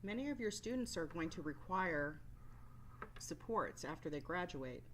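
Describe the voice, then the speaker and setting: A woman's voice: on the low side, animated, moderate pace, fairly bright tone, slight background noise. A woman teacher giving a scripted, explanatory training presentation as a voice-over. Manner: instructional, explanatory. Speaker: work-based learning resource teacher.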